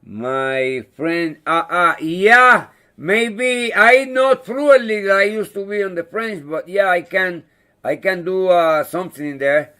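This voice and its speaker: Man, non-native English speaker, live chat host, friendly, halting delivery, resonant voice. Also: high-pitched, sharp tone, clean tone. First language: not English. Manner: halting, searching for words; friendly, thanks viewers by name. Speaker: livestream host. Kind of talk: casual live-chat monologue.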